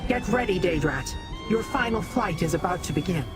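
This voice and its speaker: A woman playing a solemn mystic guide in a fantasy video game, measured and formal, with music behind. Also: on the low side, animated, natural voice. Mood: grave, urgent. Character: solemn, urgent mortal-addressing mystic guide and schemer.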